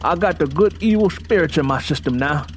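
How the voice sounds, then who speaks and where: A man's voice: a little high, expressive, fairly quick, a little hiss or hum, voice pushed up, natural voice. A man voicing a domineering, hard-drinking farmer husband in an audiobook, with an emphatic, folksy, preaching manner. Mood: gruff, defiant. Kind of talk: dramatized audiobook character dialogue. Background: music bed.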